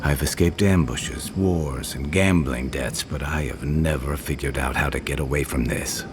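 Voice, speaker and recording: An actor playing a gruff, haunted veteran adventurer in a video game, weary and wry, with a gravelly voice. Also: male voice, moderate pace, noisy background. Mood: regretful, wistful. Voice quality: very deep, gravelly. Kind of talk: video game character acting. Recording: music behind the voice. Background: music.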